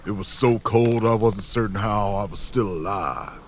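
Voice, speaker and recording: Folksy, grim survivor male actor, lowish pitch, stoic first-person audio drama narration. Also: moderate pace, a little hiss or hum, close to an everyday voice. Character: gruff, weary, folksy survivor recounting an ordeal.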